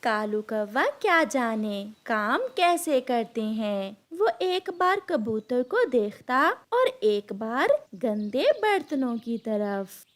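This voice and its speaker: A woman's voice: on the high side, big pitch swings, slow pace. A woman narrating a scripted children's cartoon story.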